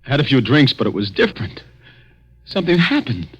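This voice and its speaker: A shaken young American man, stammers anxiously through his lines with a husky edge and a very mobile pitch. It is 1940s radio drama, with the dull sound of an old recording. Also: sing-song. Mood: groggy, uneasy. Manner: halting, agitated, stammering. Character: shaken, anxious unemployed young man after a drugged night.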